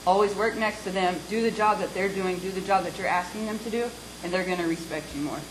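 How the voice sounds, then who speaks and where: A woman's voice: medium pitch, fast, slight background noise, poor audio. A woman on a discussion panel who speaks bluntly and encouragingly, with a plain hands-on manner.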